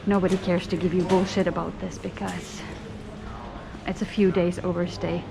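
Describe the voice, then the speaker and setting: A woman's voice: lowish voice, little pitch movement, moderate pace, vocal fry, music in the background. A woman talking casually to her camera as she travels through an airport, chatty and sarcastic, swearing freely. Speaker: vlogger. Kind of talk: casual travel vlog monologue. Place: airport terminal.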